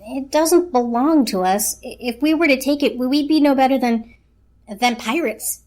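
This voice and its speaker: A woman's voice: sits high, normal speed, a little mellow, pitched above the performer's own voice, close to an everyday voice. A woman voicing an earnest, anxious little toaster with firm principles in a dramatic storybook reading. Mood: wary, scrupulous. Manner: insistent, morally earnest.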